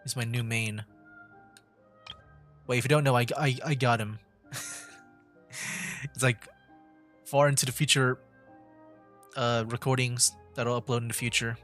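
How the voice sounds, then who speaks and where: A man's voice: mid-range voice, fairly even intonation, measured pace, pauses often, a bit bright, soft background music. Man thinking aloud while gaming. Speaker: streamer hosting a video game livestream. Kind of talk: casual gameplay commentary.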